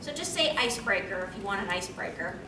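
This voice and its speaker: A woman's voice: mid-range voice, lively intonation, fast talker. A young woman hosting a panel, explaining things and answering questions in an articulate, slightly formal way. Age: young adult. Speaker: panel host. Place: convention meeting room, on a stage. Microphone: distant camera microphone. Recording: distant, echoey, noisy camera recording.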